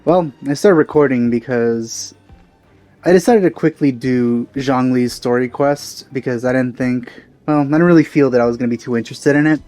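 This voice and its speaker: A man's voice: mid-range voice, normal speed, a little mellow, no room sound, faint music behind. A man giving rambling, casual commentary as he hosts a let's play.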